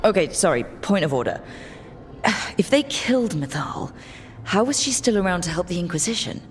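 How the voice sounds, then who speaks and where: A woman playing a wry, quick-witted investigator companion, conversational, in fantasy game dialogue. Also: lowish voice, big pitch swings, slight background noise. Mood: wry, reasoning.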